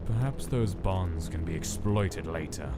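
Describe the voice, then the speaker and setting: Man playing clinical male scientist, very low voice, detached, deliberate log narration, comic dub, prominent music behind. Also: lively intonation. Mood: calculating. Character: cold, clinical male scientist dictating experiment notes.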